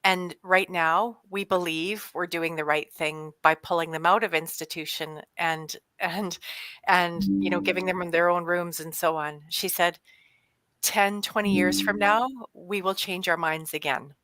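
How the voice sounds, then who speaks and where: A woman on a video call panel speaks with a bright, forceful edge. Her delivery is thoughtful and halting, full of restarts as she tells a story. The sound is dry and close. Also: fairly low pitch, fairly even intonation, dry recording. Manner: thoughtful, many restarts and hesitations. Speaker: panelist, HR director.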